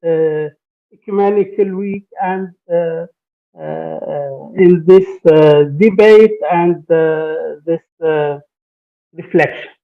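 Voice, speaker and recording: A man's voice: on the high side, slow pace, vocal fry. A man from Lebanon, a priest and professor giving a hesitant conference talk full of fillers and restarts. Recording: tinny, phone-like conference stream.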